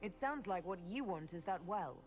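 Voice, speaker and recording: A woman's voice: medium pitch, animated, normal speed, natural voice. A woman playing a level-headed adventurer and leader in a fantasy game, speaking in a direct, questioning manner. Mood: curious, probing. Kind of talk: fantasy game dialogue acting. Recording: prominent music behind the voice.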